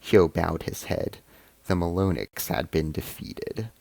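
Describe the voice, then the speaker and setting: A man's voice: sits low, measured pace, creaky, a little mellow, no echo. A man reading a science fiction short story as scripted narration.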